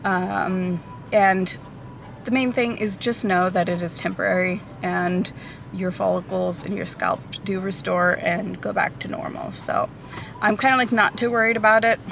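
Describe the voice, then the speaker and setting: A woman's voice: mid-range voice, fairly even intonation, a little slow, vocal fry, fairly bright tone, a little hiss or hum. A woman in her thirties, a hairstylist vlogging a casual Q&A, talking loosely with many ums and likes on a camera mic. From Portugal. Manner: chatty, many ums and likes, laughs lightly. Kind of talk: casual Q&A vlog. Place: out at a café or in a car.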